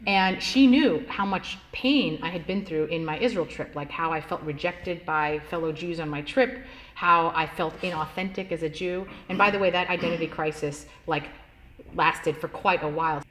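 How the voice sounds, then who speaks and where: A woman rabbi telling her life story in a relaxed, conversational way, with many "like" fillers and easy laughs. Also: lowish voice, normal speed, slight background noise, rough recording. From United States, Washington State. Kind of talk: personal storytelling talk.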